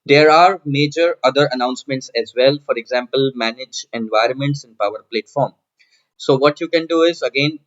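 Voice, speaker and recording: A man narrating a software walkthrough as a tutorial presenter, explaining step by step and often repeating phrases. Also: mid-range voice. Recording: dull, lo-fi microphone sound.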